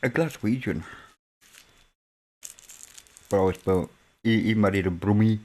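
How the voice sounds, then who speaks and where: A man with a Birmingham accent chats casually on his live stream. His voice sits a little low, and he stumbles and repeats words as he talks. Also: measured pace, mellow. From England. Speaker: stream host.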